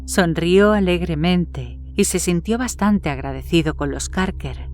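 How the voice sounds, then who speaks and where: A woman narrates a scripted horror story. Her voice is on the low side for a woman, and faint music plays underneath as she reads. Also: measured pace, darkish tone. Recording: music behind the voice.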